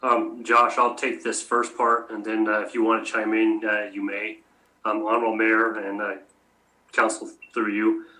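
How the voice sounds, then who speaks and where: A man answering questions as city staff at a public meeting, formal and deferential but halting and tentative. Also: fairly low pitch, completely flat delivery, sharp tone, low-quality recording.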